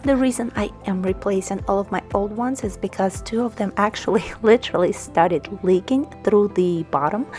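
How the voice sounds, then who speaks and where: A young woman with a slightly hoarse voice, chatting casually and informally to viewers in a home vlog voice-over. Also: mid-range voice, darkish tone. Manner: friendly, informal, addressing viewers directly. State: slightly hoarse, voice partly lost. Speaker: host of home cleaning vlog. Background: background music.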